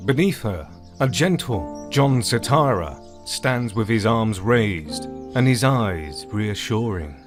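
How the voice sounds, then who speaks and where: A man's voice: fairly low pitch, animated, slow, over music. A man narrating a scripted audio drama as its storyteller.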